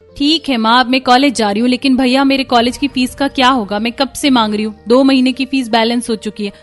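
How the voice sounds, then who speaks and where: Woman doing young cartoon college girl, high voice, fretful and pleading. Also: fairly even intonation, fairly quick, faint music behind, natural voice. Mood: worried. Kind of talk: Hindi cartoon story voice acting.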